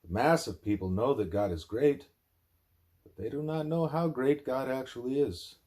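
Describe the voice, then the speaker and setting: A man narrating a spiritual text aloud in deliberate, emphatic phrases. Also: medium pitch, a little mellow. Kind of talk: scripted narration, broken by asides to a small child.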